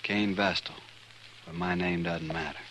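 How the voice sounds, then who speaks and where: Deep, grim vengeful western stranger. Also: male voice, fairly even intonation, bright, forceful tone. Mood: cold, ominous. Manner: deliberate, cold, menacing. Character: grim, vengeful male stranger, coldly resolute accuser. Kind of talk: 1950s radio western acting. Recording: noisy 1950s radio recording.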